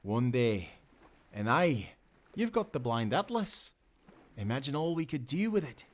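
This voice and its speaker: A devoted sailor played by a man in a seafaring audio roleplay, with a medium-pitched voice. He speaks earnestly and gently, as to a close companion. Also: very animated, a little slow. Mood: hopeful. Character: loyal, tender young sailor, devoted companion. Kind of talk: intimate nautical audio roleplay acting.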